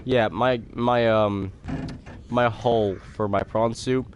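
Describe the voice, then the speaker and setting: A teenage boy talks with friends while he plays a game on a live stream. He speaks calmly and haltingly, full of "uh" and "I mean". A noisy home recording hisses under his mid-pitched voice. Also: dull audio. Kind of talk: casual chat while gaming on a live stream.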